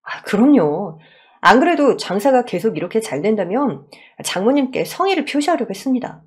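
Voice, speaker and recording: A meek young son-in-law in a radio story, voiced by a woman. He is earnest, courteous and a little hesitant, with a husky, expressive delivery. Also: on the high side, fairly quick. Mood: grateful. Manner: polite, hesitant, pleading.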